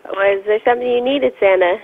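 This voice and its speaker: A woman's voice: medium pitch, fairly quick, no echo. A woman speaking politely and in a friendly way on a phone call to a store. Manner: polite, friendly customer-service tone. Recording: tinny phone line with loud noise.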